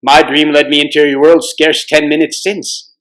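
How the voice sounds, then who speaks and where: A man's voice: fairly high pitch, level tone, moderate pace, fairly bright tone, close to an everyday voice, poor audio. Man reading as a courteous, formal storybook king. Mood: puzzled. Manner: formal, archaic speech. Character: courteous, grave young king of a fantasy realm.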